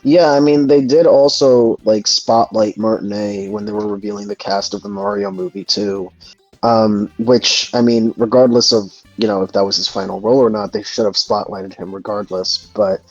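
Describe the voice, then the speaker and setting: A man's voice: on the low side, fairly even intonation, moderate pace, no room sound. Hesitant man on a dull, dry mic. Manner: hesitant, reflective, searching for words. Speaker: host of a gaming discussion. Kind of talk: casual discussion. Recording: dull lo-fi mic, music underneath. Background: background music.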